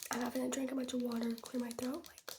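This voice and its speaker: A teenage girl whispering in a breathy voice, rambling casually and wryly in an ASMR storytime style with youthful slang. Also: fairly high pitch, little pitch movement, fast talker, noisy background. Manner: chatty, wry, youthful slang. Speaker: ASMR host showing products.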